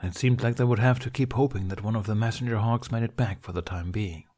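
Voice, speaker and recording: A man's voice: on the low side, quick pace, mellow. A man reading scripted fan fiction aloud as an amateur story narrator.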